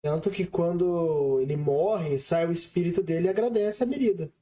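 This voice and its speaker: Mellow Brazilian podcast co-host. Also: mid-range voice, moderate pace. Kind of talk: casual film discussion.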